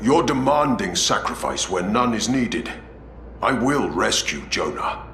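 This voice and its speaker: Stern space marine commander for a game, formal, animated delivery, echoey sound. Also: male voice, fairly low pitch, unhurried, full character voice. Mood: defiant, firm. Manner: formal, authoritative. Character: resolute, commanding male space marine chapter master. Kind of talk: dramatic video game cutscene acting. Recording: echoey, faint background noise.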